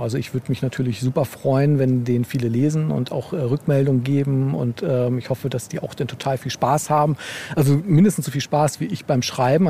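A man's voice: mid-range voice, vocal fry, dark tone, a little hiss or hum. A man speaking as an interview guest, a novelist chatting casually and enthusiastically in a rambling way. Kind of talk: casual chat. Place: outdoors on a beer bench.

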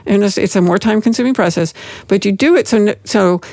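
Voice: mid-range voice, brisk, darkish tone, no echo, clean studio sound. A middle-aged business lawyer on a panel, explaining at length with frequent restarts. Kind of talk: panel discussion.

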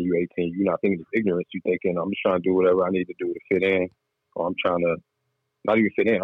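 A man's voice: deep voice, husky, no echo, narrow, tinny audio. A man guest on a chat show, telling his story candidly in laid-back slang. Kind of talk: casual interview chat.

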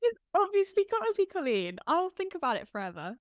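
A woman's voice: very high voice, huge pitch swings, brisk, no room sound. A woman co-hosting a podcast in casual chat, gushing and chatty, full of "like" and the odd swear word. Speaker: podcast co-host.

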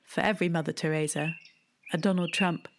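A woman, telling a personal memoir in a wry, deliberate way, with hushed asides. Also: low voice, fairly even intonation, slight background noise. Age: 30s. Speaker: storyteller. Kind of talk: memoir storytelling.

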